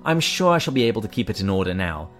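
A man voicing a fussy, evasive male ghost who pleads and makes excuses in a higher, strained voice. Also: big pitch swings, quick pace, faint music behind. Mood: pleading. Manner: whiny, pleading, self-excusing.

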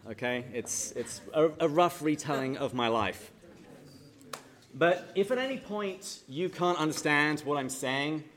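An English man speaks to a live audience in a hall, his voice sitting at an everyday middle pitch. He keeps things chatty and jokey, firing questions at the crowd, and turns slower and more solemn when he leads a prayer. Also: a bit bright. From England. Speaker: speaker at a parish talk. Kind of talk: humorous church talk to a live audience.

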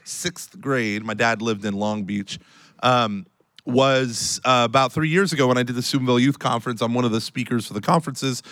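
An American man with an allergy-hoarse voice, co-hosting a live podcast with comic storytelling and wry teasing. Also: mid-range voice, normal speed, a bit bright, dry recording. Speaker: podcast co-host and conference speaker. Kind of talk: comic storytelling, casual banter. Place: on stage before a live audience.

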